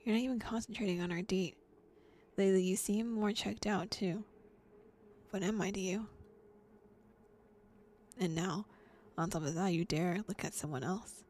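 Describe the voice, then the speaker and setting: A woman's voice: medium pitch, normal speed, long pauses, darkish tone, lightly acted. A woman voicing a clingy, jealous young man who pleads and accuses in a visual novel. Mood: accusing. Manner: pleading and accusing, whispers menacingly. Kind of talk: visual novel dialogue reading.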